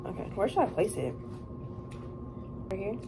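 A young American woman talks casually to the camera as a vlogger. Her voice sits at a medium pitch, and the recording picks up clear background noise. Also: big pitch swings, moderate pace. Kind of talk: casual vlog chat. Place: hotel room. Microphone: camera or phone at arm's length. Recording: clearly noisy room sound.

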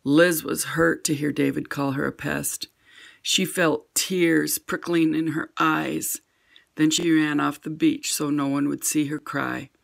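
An older woman reading a children's picture book aloud at home with gentle, expressive storytelling. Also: sits low, unhurried, creaky.

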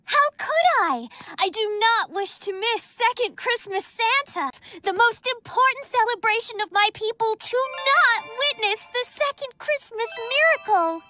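A woman's voice: very high voice, lively intonation, a little slow, few pauses, sharp tone, faint music behind, full character voice. A woman voicing a sweet, naive young alien girl superhero in a cartoon, with stilted, formal phrasing. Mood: earnest.

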